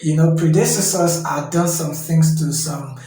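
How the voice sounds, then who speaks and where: A Nigerian man in his thirties, a guest in a casual interview, talking haltingly with many fillers and frequent checks of "you understand?". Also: a little high, warm tone. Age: 30s. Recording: heavy echo with faint music.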